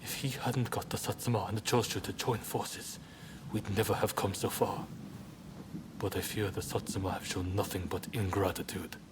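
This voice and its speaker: An actor playing a stern, burdened samurai leader, somber and deliberate in dramatic game dialogue. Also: male voice, lowish voice, little pitch movement, noisy background, lightly acted. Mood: mournful, resolute.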